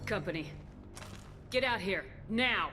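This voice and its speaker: An actress playing a resolute military commander in a video game, calm, direct and authoritative. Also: female voice, medium pitch, slow pace, over music. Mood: commanding.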